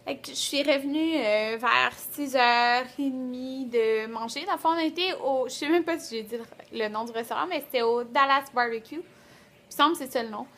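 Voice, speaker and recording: A young woman with a Quebec French accent and a fairly high voice talks like a vlogger at home. She is chatty and colloquial, holding the camera at arm's length in a small room. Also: moderate pace, a bit bright. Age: 20s. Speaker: beauty vlogger. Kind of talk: casual vlog chat to camera. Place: small rooms at home, indoors.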